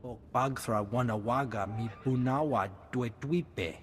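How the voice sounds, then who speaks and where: A man's voice: medium pitch, unhurried, a little hiss or hum, close to an everyday voice. Man voicing an alien, declaiming in reverb. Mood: declamatory. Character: male alien speaking an invented guttural tongue. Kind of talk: video game alien dialogue acting.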